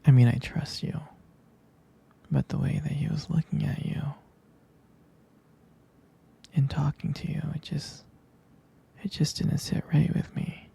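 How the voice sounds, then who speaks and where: A man playing a jealous, protective, tender boyfriend in an intimate ASMR roleplay, speaking softly and hesitantly in a breathy, husky, gravelly whisper. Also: a little high, fairly even intonation, exaggerated voice. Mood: uneasy, jealous. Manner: slow, hesitant, soft-spoken.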